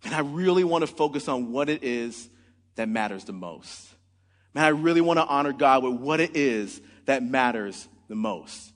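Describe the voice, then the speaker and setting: A man's voice: medium pitch, animated, moderate pace, big-room sound. A man in his forties preaching a sermon in earnest, often opening with "man" or "look". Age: 40s. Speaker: pastor.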